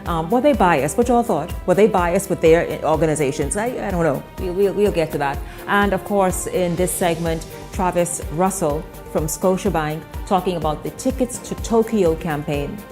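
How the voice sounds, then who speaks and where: Woman TV host, lowish voice, chatty and upbeat, faint music behind. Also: normal speed, few pauses, darkish tone. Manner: chatty, repeats words, enthusiastic. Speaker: TV talk show host. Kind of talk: live TV interview chat.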